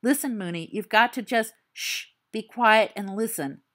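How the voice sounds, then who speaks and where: A woman voicing a frightened teenage boy who hisses urgent, pleading whispers in the dark. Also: mid-range voice, normal speed, pitched above the performer's own voice, close to an everyday voice. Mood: panicky, urgent. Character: frightened teenage boy wizard, jumpy and panicky.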